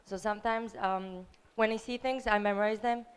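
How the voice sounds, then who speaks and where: Young Serbian-accented woman, clear and smooth, speaking up from the audience. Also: medium pitch, fairly even intonation, normal speed. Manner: friendly, hesitant, many "um"s and "right?". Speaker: audience member. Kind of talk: spontaneous audience comment. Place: lecture hall.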